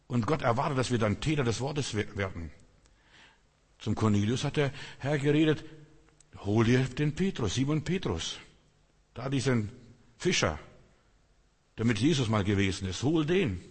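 A man's voice: medium pitch, lively intonation, unhurried, pauses often, husky, in a large hall. A man preaching a sermon in German, which is not his first language, talking to the congregation in a conversational way and often asking "verstehst du?". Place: church hall.

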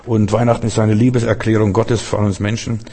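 A man's voice: lowish voice, little pitch movement, brisk, dark tone, slightly rough. A man preaching a Christmas sermon in a church hall, fervent and direct, often repeating himself and questioning his listeners. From Berlin. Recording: long hall reverb.

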